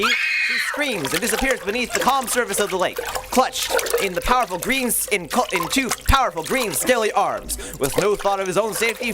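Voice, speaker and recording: A man narrating an old-time radio serial with booming theatrical delivery. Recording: live stage recording with background noise.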